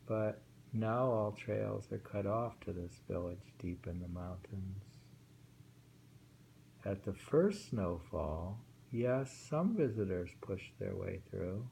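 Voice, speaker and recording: A man reading short poems aloud twice each and musing over their meaning in a slow, thoughtful way. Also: lowish voice, creaky, mellow, a little hiss or hum, rough recording. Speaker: poetry reader.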